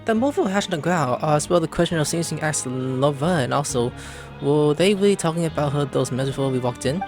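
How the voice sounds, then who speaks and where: A woman commenting on a video game she is playing. Her voice sits a little low but moves around a lot, playful as she reads lines aloud. Faint music plays behind her. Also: lively intonation, brisk. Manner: playful, bursts into loud put-on voices. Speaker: game streamer. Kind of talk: playthrough commentary, reading dialogue aloud.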